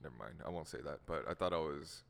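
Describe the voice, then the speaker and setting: A man's voice: a bass voice, quick pace, vocal fry, long reverb tail. A young man from the Seattle area whose first language was Korean, hosting a casual podcast chat with a laid-back, rambling manner and frequent laughs. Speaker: podcast host.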